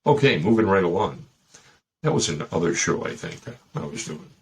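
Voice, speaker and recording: A man's voice: deep voice, big pitch swings, normal speed, breathy edge. A middle-aged man with a Chicago accent, hosting a casual dating-advice talk full of joking asides. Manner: joking asides, loose and rambling. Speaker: host.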